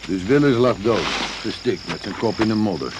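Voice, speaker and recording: An actor playing a cheeky, shady hired adventurer in a radio drama, talking in a colloquial, mocking, nonchalant way. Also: male voice, lowish voice, lively intonation, normal speed, natural voice. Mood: sly, flippant. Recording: noisy, hissy old radio recording.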